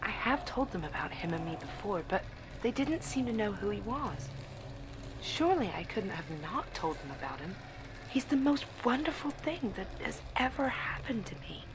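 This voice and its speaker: An actress playing a besotted young bride drawn into a cult, delivering an intense, breathy diary monologue in an audio drama. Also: female voice, mid-range voice, very animated, normal speed, noisy background, lightly acted. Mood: rapturous.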